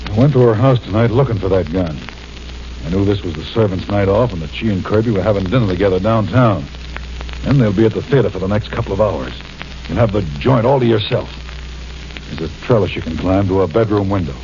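An actor playing a shady male gangster turned tipster in a 1940s radio drama, talking low and blunt. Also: brisk, a little mellow, lightly acted. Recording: muffled old radio transcription with hiss.

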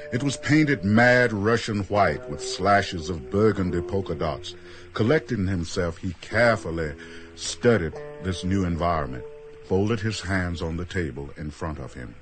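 Solemn storyteller, deep low voice, scripted radio drama narration, clear background music. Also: male voice, lively intonation, unhurried. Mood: measured.

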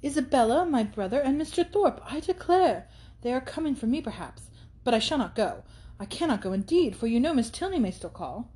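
A woman's voice: high voice, animated, fairly quick, mellow, voice pushed up. A woman reading aloud and voicing an eager, hesitant young heroine who asks earnest, anxious questions.

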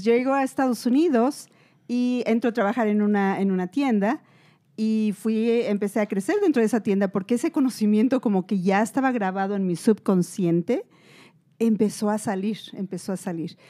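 A woman with a mellow, warm voice speaks in a slow, measured way and stops often for fillers. She is a guest on a panel talk, sharing how she runs stores. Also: medium pitch. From Latin America.

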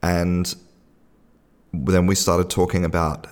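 Deep-voiced man telling a chatty story. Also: little pitch movement, slow pace, clear, resonant tone, long reverb tail. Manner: chatty storyteller, many restarts and fillers. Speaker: podcast host. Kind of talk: casual spoken podcast monologue.

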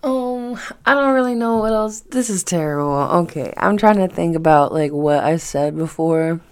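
A woman's voice: lowish voice, expressive, moderate pace, smooth. A woman in her twenties chatting casually on a solo podcast, rambling and swearing freely with emphatic repetition. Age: 20s. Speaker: podcast host.

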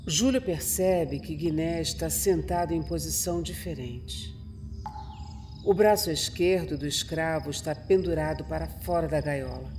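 Narrator, male, Brazilian: slightly high voice, slow dramatic horror storytelling over music. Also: darkish tone. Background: music and night insects.

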